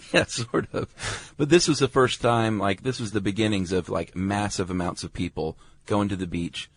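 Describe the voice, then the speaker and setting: A low-pitched American man chats as a podcast co-host. He explains history in a laid-back, colloquial way, and the recording is dry and close. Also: normal speed, slightly rough, no room sound. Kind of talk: casual chat explaining history.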